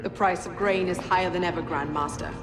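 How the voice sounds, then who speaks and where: An actress playing a calculating, deferential female conspirator in a video game, reporting in a formal, measured way. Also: on the low side, fairly even intonation, natural voice. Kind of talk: video game cutscene acting. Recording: echoey hall with music.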